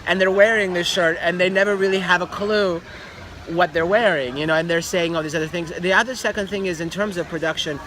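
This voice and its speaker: Male interview guest from Albania, fashion designer, emphatic and slightly high-voiced, outdoors with clear traffic noise. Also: fairly even intonation, quick pace, fairly bright tone. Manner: emphatic, repeats phrases for stress. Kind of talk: casual interview talk. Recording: noisy outdoor street with traffic.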